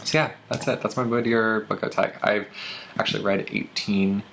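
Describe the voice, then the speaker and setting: A man's voice: on the low side, steady tone, normal speed, creaky, a bit bright, soft background music. A young man talking casually about books in a vlog, with lots of ums and uhs. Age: young adult. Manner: chatty, many ums and uhs. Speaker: booktuber. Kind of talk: casual book tag vlog.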